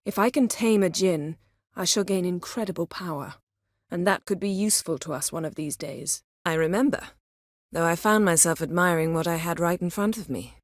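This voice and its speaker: Woman acting as proud fantasy game sorceress, lowish female voice, formal, sardonic, commanding, dry close sound. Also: moderate pace, no room sound, lightly acted. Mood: quietly intimate. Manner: formal, haughty, clipped, cool diction. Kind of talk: video game voice acting, scripted dialogue.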